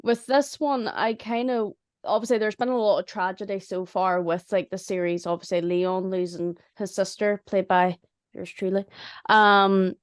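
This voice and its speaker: A woman chatting casually and humorously about making audio dramas, in a chatty, animated way. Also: mid-range voice, moderate pace, clean tone, no room sound, clean studio sound. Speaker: audio drama writer and voice actor. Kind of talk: casual chat.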